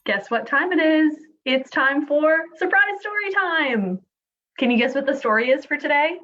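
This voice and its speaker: A woman's voice: high-pitched, expressive, normal speed, sharp tone, dull audio, faint music behind. A woman hosting an online children's story time, giving an introduction and putting questions to young viewers. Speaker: library story time host.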